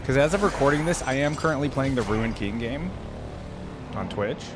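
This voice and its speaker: A man giving casual, musing commentary as a reaction video host, often trailing off quietly. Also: medium pitch, animated, moderate pace, background noise. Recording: voice over loud game cinematic music.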